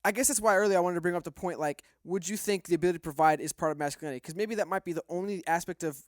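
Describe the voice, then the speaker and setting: A man with a slightly high voice speaks rapidly in a dry, close recording. He hosts a casual panel debate, probing and disputing points as he goes. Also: no echo. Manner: questioning, argumentative. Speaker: podcast panel host. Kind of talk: casual debate on a discussion podcast.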